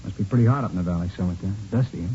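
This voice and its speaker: An actor playing a talkative, cocky murder suspect in a vintage radio crime drama, casual and rambling, with repeats and restarts. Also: male voice, sits low, racing, dark tone, close to an everyday voice. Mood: needling, guarded. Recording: muffled, noisy vintage radio recording.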